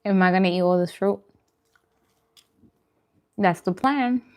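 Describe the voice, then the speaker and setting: A woman's voice: mid-range voice, measured pace, clear, resonant tone. A woman chatting casually and in a friendly way as she hosts a food livestream, answering viewers' comments.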